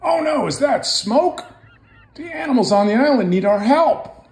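A bold, bossy tugboat from a picture book, played by a man, speaking emphatically in a raised pitch. The sound has the echo of a room. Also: animated, measured pace, a little mellow, full character voice. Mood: alarmed. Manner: high, emphatic, scolding.

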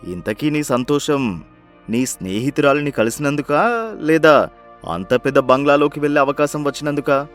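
A man playing a wry, mildly sarcastic working husband in an animated moral story, speaking in a dry, knowing way. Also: a little high, normal speed, soft background music, lightly acted. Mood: wry, skeptical.

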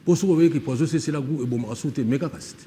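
A man's voice: on the high side, fast, slight background noise. A man, a musician guest on a TV studio talk show, speaking easily and mixing Lingala with French. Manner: relaxed, lists names, mixes Lingala and French. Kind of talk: casual studio interview. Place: TV studio set.

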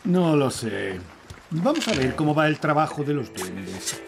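A man's voice: fairly high pitch, sing-song, a little slow, vocal fry, full character voice. Polite but grumbly fairy king, castilian accent, super swoopy delivery, cartoon music behind. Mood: weary, dismayed. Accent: Castilian Spanish. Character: reluctant, fretful middle-aged fairy king, politely grumbling. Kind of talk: animated cartoon dub.

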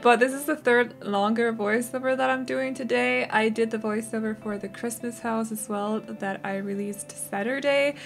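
A young woman talks through her game build in a relaxed, chatty voiceover. Her voice sounds slightly rough and strained, and she laughs lightly and corrects herself as she goes. Quiet background music plays under her voice. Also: on the high side, normal speed, hardly any pauses. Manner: chatty, self-correcting, giggly. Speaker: Sims builder narrating a speed build. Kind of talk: casual voiceover commentary.

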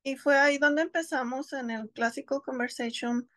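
A woman's voice: sits high, fairly even intonation, normal speed, bright, forceful tone, no echo, clean studio sound. A woman in her thirties from Mexico, a homeschooling mother speaking on a video call, thoughtful and hesitant, often restarting her words. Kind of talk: casual interview conversation. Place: home, seated on a couch.

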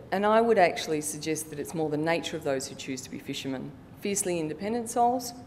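A middle-aged woman with a fairly low voice presents formally from a lectern. She reads prepared material in a measured way, with the odd stumble. The hall adds a long reverberant tail, and a faint rumble sits behind her. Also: darkish tone. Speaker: conference presenter, researcher. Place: conference hall stage, indoors. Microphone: lectern microphone.

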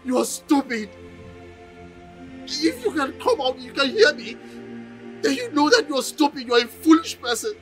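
A man's voice: very high voice, very animated, moderate pace, long pauses, music in the background. A man playing a grieving, bitter friend who scolds at a grave. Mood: bitter grief. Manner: bitter, reproachful.

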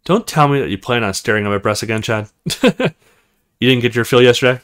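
A man's voice: mid-range voice, lively intonation, a little fast, a bit bright, smooth, natural voice. Man reading as stern, teasing military woman. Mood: teasing, brisk.

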